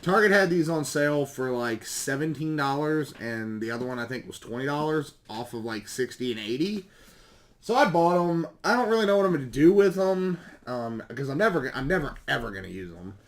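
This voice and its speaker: A man with a slightly hoarse voice chats casually and ramblingly as a video host showing off recent pickups. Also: fairly high pitch, expressive, a little fast, a little hiss or hum. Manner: rambling, self-correcting, mild swearing. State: slightly hoarse, losing his voice. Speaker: YouTube video game collecting host.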